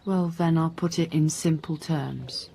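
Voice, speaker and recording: Low-voiced, probing woman police inspector. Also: fairly even intonation, creaky, dark tone, slightly rough, full character voice. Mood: businesslike, probing. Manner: measured, pointed questioning. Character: probing, dry, sceptical female police inspector. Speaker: actor in radio crime drama. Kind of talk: naturalistic radio drama acting.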